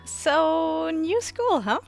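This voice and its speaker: A woman voicing a shy, gloomy high school girl in a visual novel, hesitant and understated. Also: big pitch swings, drawn-out words, creaky, faint music behind, heavily acted. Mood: shy, curious.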